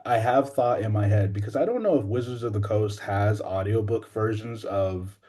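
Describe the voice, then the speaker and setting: A man's voice: fairly low pitch, moderate pace, mellow. A man co-hosting a podcast in casual, conversational chat. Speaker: podcast co-host.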